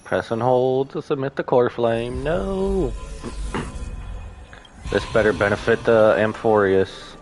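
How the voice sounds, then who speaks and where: A man's voice: medium pitch, animated, slow, long pauses, a little mellow, soft background music. A man streaming a game, reacting casually with dry, grumbling asides. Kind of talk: live reaction commentary, casual.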